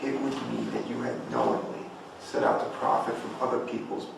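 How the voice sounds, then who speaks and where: A man with a gravelly voice playing a sceptical, accusing friend in a table read of a stage play. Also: on the low side, big pitch swings, brisk, full character voice. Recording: echoey room, distant mic, noise.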